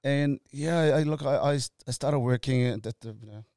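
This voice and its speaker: Halting man, dark mellow voice. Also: mid-range voice, dry recording, clean studio sound. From Tonga. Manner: halting, many fillers and restarts, laughs easily. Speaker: guest, company co-founder. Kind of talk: casual interview chat.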